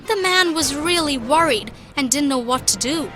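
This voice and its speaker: High, lively storytelling cartoon mother played by a woman. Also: normal speed, loud music behind the voice. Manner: expressive, didactic. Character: patient, instructive cartoon mother telling fables. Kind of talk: children's storytelling.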